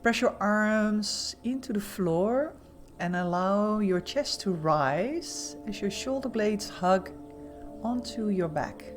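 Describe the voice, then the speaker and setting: A woman leading a guided meditation as an instructor, giving gentle, soothing, softly spoken instructions with pauses. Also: mid-range voice, lively intonation, soft background music.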